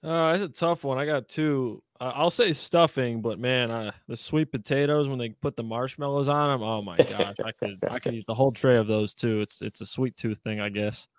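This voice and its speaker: A man's voice: medium pitch, normal speed, few pauses, dry recording. A man on a sports podcast panel, chatting in an easygoing way. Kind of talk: casual podcast chat.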